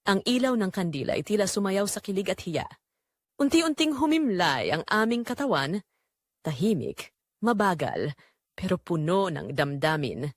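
A woman's voice: mid-range voice, sing-song, moderate pace, dry recording, clean studio sound. A woman narrating a dramatic romance story in the first person.

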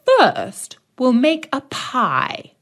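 A shrill, greedy old witch from a storybook, barking orders with a cruel edge, as a woman reading the tale puts her on. The voice jumps high and sharp, swooping wildly between words. She sounds old, wicked and impatient. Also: huge pitch swings, very slow, exaggerated voice. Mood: scheming. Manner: snapping, commanding.

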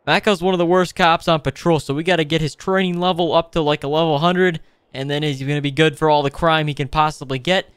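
A man hosting a gaming video with casual, playful roleplay commentary, excitable and given to exclaiming. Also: fairly high pitch, quick pace, clear, resonant tone, no room sound. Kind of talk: casual improvised roleplay commentary over gameplay.

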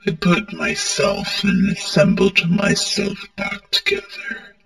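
A man playing a war-weary, mournful robot prince in a halting, melodramatic monologue. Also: high-pitched, big pitch swings, vocal fry, fairly bright tone, reverberant, soft background music, exaggerated voice, poor audio. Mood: mournful, regretful. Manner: halting, melodramatic, broken phrasing. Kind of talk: dramatic amateur character monologue.

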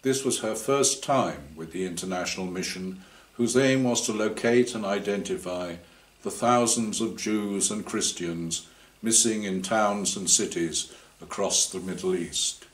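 Slow, low older Englishman reading a story. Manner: measured, deliberate. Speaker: author reading his short story. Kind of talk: scripted story narration. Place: indoor room before a banner. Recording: roomy indoor echo.

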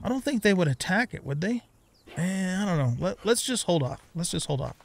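A man's voice: sits high, sing-song, brisk, vocal fry, slight background noise. A middle-aged man giving casual gameplay commentary, rambling and thinking aloud as he hosts a let's play.

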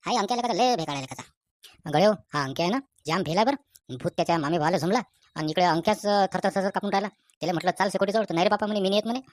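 Woman voicing a fast-talking rustic village lad. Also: lowish voice, no echo, natural voice. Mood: amused, incredulous. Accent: rural Vidarbha Marathi. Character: excitable, superstitious young village man, gossiping. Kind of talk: rustic comic cartoon dialogue.

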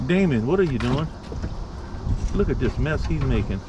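A man's voice: mid-range voice, animated, moderate pace. Teasing Louisiana man on a boat. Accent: South Louisiana. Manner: teasing, playful banter. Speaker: adult on a family fishing trip. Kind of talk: casual outdoor chat. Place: outdoors on a small boat. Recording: noisy outdoor recording.

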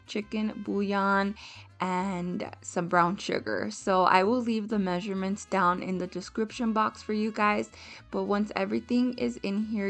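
Chatty young woman with a gravelly edge. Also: medium pitch. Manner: friendly, chatty, speaking directly to viewers. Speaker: home vlogger. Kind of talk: voice-over narration of a cooking and decorating video. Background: soft background music.

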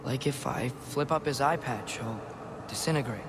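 A man's voice: medium pitch, moderate pace, noisy background, natural voice. A young-sounding man playing an earnest, determined teenage boy in a dramatic video game. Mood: wry, apprehensive.

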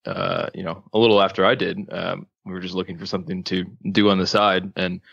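A man, a podcast guest, talking in a relaxed way with many ums, from a studio space. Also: deep voice, a little fast, vocal fry, slightly rough, no echo, muffled recording. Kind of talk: casual interview.

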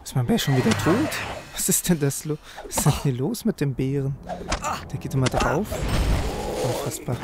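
A man's voice: medium pitch, lively intonation, moderate pace, very noisy. A man giving casual gameplay commentary, talking informally and half to himself as he plays. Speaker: let's player, gaming host. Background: game music.

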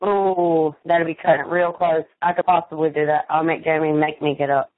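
A woman's voice: low voice, level tone, fairly quick. A woman chatting casually on the phone in a blunt, slangy way. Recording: tinny phone line, faint noise.

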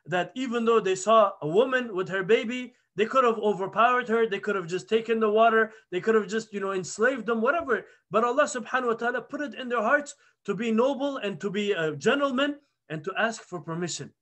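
A man in his thirties teaches a religious study circle from a plain indoor room, speaking into a webcam. His voice sits high for a man, and he tells the story earnestly, often restarting his phrases. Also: fairly quick. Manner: earnest, animated retelling with restarts. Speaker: halaqa teacher. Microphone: webcam video call.

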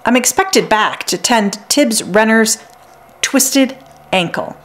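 A woman reading as a hearty, lively-pitched village healer. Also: takes it slowly. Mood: matter-of-fact.

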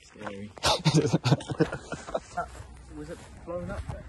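A man's voice: on the high side, expressive, takes it slowly, background noise. A young English man from England, chatting and laughing outdoors as a travel vlogger, filmed on a handheld camera. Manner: laughs often, jokey understatement. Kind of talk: casual travel chat. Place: outdoors on roads and in cars. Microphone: handheld camera at arm's length. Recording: music playing underneath.

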